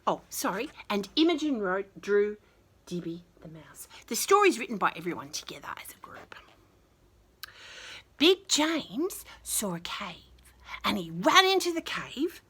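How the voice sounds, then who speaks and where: A woman with an Australian accent reading a picture book to young children, adding chatty, affectionate asides in an expressive way. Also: mid-range voice, unhurried, slightly rough. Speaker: children's storyteller and teacher.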